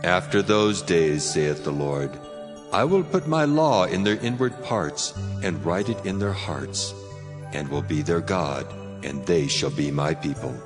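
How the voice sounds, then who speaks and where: A man voicing God as a solemn, fatherly, commanding deity in a measured dramatized scripture reading. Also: low voice, expressive. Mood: solemn, resolute. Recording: long cavernous reverb, music underneath. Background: music.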